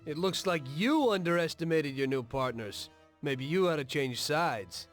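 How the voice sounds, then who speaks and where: An actor playing a betrayed, principled mobster in a game cutscene, earnest and bitterly defiant. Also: male voice, on the high side, animated, moderate pace, faint music behind, natural voice. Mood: persuading. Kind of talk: dramatic video game cutscene acting.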